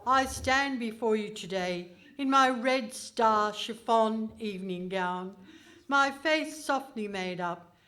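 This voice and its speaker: A woman playing a haughty, pompous Iron Lady politician in a comic radio play, speaking in a stately, deliberate manner. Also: fairly high pitch, sharp tone. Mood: pompous, self-important. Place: hall stage before a live audience.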